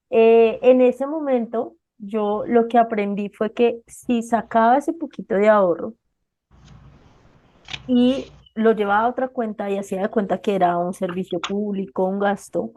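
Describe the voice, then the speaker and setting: A woman's voice: fairly high pitch, unhurried, a little mellow, muffled recording. A woman hosting a podcast, talking conversationally about her own saving habits and asking her guest questions. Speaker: podcast host. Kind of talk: casual podcast interview.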